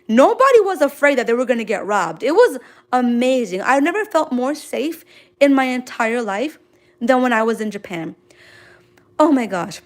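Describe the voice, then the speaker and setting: Gushing high-voiced New woman. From Queens, New York City, United States. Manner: gushing, effusive, sprinkled with exclamations. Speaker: manga artist hosting a drawing livestream. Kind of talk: casual livestream chat, answering viewer comments.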